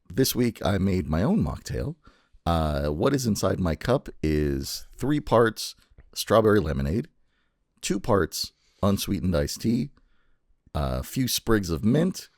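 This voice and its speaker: A man's voice: sits low, no room sound, clean studio sound. A man hosting a podcast in casual chat, playfully teasing and swearing freely. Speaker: podcast host.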